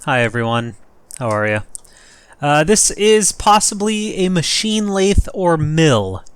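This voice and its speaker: Slightly hoarse rambling gaming host man. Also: on the high side, very animated, slow pace. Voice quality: rough. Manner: rambling, self-joking, thinks aloud. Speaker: let's play host. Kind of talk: casual gameplay commentary.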